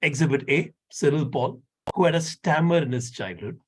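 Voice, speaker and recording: A man's voice: fairly high pitch, lively intonation, normal speed, husky. A man giving an impromptu speech at an online club meeting, candid and lightly humorous, with a slight stammer. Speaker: impromptu speaker.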